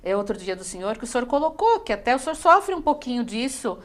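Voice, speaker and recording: Female host, teacher, polite formal interviewer, somewhat high voice, hesitant fillers. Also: sing-song, quick pace. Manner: polite, addresses the guest formally, many fillers. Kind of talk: educational broadcast interview.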